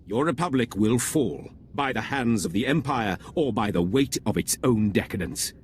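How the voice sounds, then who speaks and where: An actor playing a proud, zealous enemy general in a video game, speaking in a measured, haughty, oratorical way. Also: male voice, mid-range voice, expressive, slight background noise, natural voice. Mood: disdainful. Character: proud, zealous male Imperial general, cold villain. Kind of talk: video game villain monologue.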